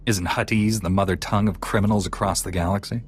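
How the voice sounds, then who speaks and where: An actor playing a calm, resolute knight hero in a video game, measured and self-assured. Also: male voice, on the low side, lightly acted.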